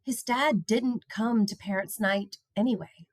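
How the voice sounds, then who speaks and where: A woman reading a children's picture book aloud in an expressive storytelling style. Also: medium pitch, unhurried, dry recording.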